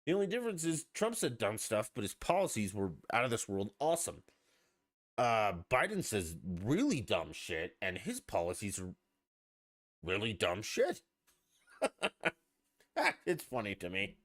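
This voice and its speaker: Casting note: podcast host, low voice, lively swooping delivery, profane and rambling. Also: male voice, measured pace, long pauses. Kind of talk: casual solo podcast chat.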